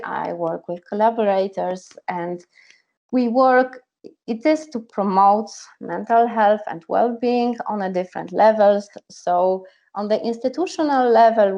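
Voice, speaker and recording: A woman's voice: mid-range voice, gravelly, warm tone. A woman, a psychologist telling her story earnestly in an online talk. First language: Polish. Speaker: psychologist and coach. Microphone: video call. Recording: lo-fi video call audio.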